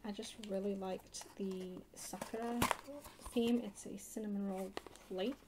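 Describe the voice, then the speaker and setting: A woman chatting softly in an ASMR haul video, hushed and often slipping into a whisper. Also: mid-range voice, fairly even intonation, a little slow. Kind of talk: soft-spoken ASMR haul chat. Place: indoors at a table. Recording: noisy close home recording.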